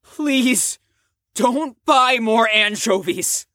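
A man's voice: very high voice, drawn-out words, bright, forceful tone, no room sound, studio quality, exaggerated voice. A man playing a cocky, crude fifteen-year-old boy in an audio drama, with a smug, slangy drawl. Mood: flippant.

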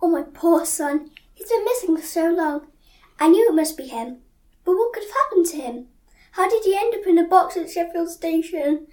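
A woman's voice: very high-pitched, animated, moderate pace, darkish tone. A woman playing a distressed, grieving mother in an audio drama, earnest and emotional. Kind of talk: community audio drama acting.

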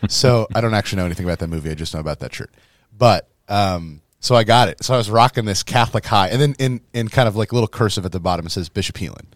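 Hoarse male priest co-host, low voice, chatty storyteller, dry close mic. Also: quick pace, few pauses, no echo. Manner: rambling, storytelling with laughs. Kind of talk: casual chat.

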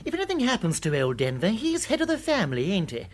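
A man playing a gossipy, foppish upper-class English gentleman in a radio drama, full of old-fashioned slang. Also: sits high, wildly swooping pitch, quick pace, full character voice. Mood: gossipy, jovial. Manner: slangy, tagging remarks with "what?".

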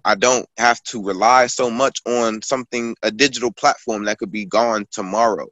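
A man's voice: fairly low pitch, steady tone, moderate pace, a bit bright, dry recording, highs cut off. Rambling young man telling a story. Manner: rambling storytelling with many fillers. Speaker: guest author and poet. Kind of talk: casual conversation.

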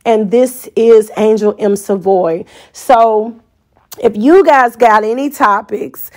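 A woman's voice: a little high, slow pace, a little mellow. A woman in her forties with an American accent, hosting a podcast as an unscripted, candid and colloquial personal monologue. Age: 40s. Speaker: podcast host.

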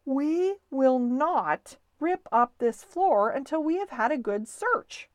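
A woman's voice: sits high, big pitch swings, moderate pace, darkish tone, no echo, pitched above the performer's own voice. A sensible father laying down the law in a children's story read by a woman. The delivery is stern and decisive, rising and falling firmly, and the sound is dry and close. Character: firm, sensible father of the household.

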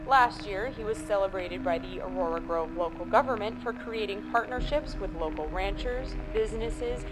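A woman playing a composed public radio host in an audio drama, reading news in a measured, formal way. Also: medium pitch, close to an everyday voice, poor audio. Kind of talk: scripted radio news presenting.

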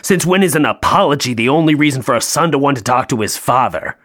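An actor playing a bitter, grieving North Pole elf in an audio play, sarcastic and emotionally open. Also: male voice, medium pitch, animated, quick pace, a bit bright. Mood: hurt, angry.

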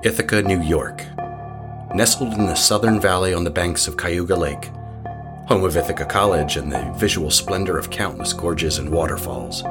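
A man narrating a horror audio drama in a slow, measured storytelling manner. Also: low-pitched.

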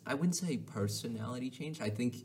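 A man's voice: medium pitch, animated, fairly quick, darkish tone, a little hiss or hum. A young man hosting a school podcast, speaking hesitantly with frequent restarts in casual conversation. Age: teens. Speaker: student podcast host.

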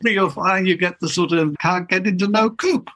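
A man's voice: high voice, normal speed, pushed and bright. An older man, a guest linguist in an interview, explaining in a wry, chuckling way.

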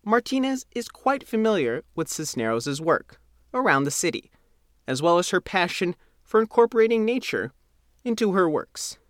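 A man's voice: on the high side, no echo, studio quality. A man reading a scripted local feature article as its reporter and narrator.

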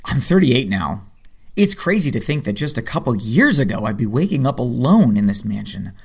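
A man playing a wry, lovestruck 38-year-old vigilante hero who narrates his story in a superhero audio drama, with a husky, self-deprecating delivery. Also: mid-range voice, big pitch swings, moderate pace, exaggerated voice. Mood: tender, reflective.

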